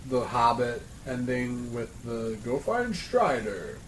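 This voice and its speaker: A man's voice: medium pitch, very animated, slow, fairly bright tone, a little hiss or hum, low-quality recording. A man in a casual round table discussion, hesitant and searching for his words. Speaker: podcast panelist.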